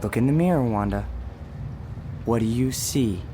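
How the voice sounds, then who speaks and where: An actor playing Death as a calm, gentle man in a radio drama, measured, soft-spoken and quietly insistent. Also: medium pitch, noisy background, close to an everyday voice. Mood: quietly commanding. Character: calm, gentle, persuasive Death in a man's form. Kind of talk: dramatic radio play acting.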